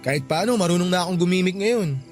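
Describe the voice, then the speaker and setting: Man playing considerate young office guy, Filipino accent, fairly high pitch, friendly, radio drama, faint music. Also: fast, lightly acted. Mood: friendly, attentive. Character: friendly, considerate 29-year-old male bank employee.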